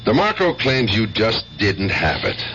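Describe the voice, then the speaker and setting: A shrewd detective sergeant in an old radio play, drily sardonic and probing. The voice is on the low side with very animated intonation. It comes through dull, with a light hiss behind it. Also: male voice, heavily acted. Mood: casual, pressing. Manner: dry, probing, deliberate. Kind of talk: 1970s radio drama acting. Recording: dull, slightly hissy radio broadcast.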